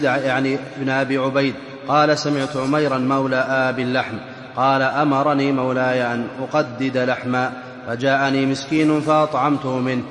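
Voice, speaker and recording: A man reading a hadith text slowly and in measured phrases. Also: mid-range voice, fairly bright tone, slight background noise. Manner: slow, measured recitation. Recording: echoey hall from far off.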